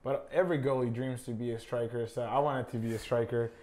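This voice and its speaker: A young college soccer player from Honduras, a native Spanish speaker, talking casually in an interview with many fillers. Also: male voice, medium pitch, quick pace. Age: young adult. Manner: relaxed, many fillers like "I mean" and "uh".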